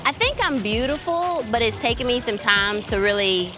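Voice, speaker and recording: A woman's voice: a little high, lively intonation, normal speed, over music. A woman with a light voice candidly sharing a personal story in a group chat. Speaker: panelist.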